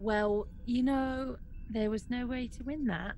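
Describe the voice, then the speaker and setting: A woman with a fairly high voice talks as a player in a tabletop roleplay. She is casual, playful and wry, and there is clear noise behind her. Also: fairly even intonation, unhurried, darkish tone. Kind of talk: casual in-game chat.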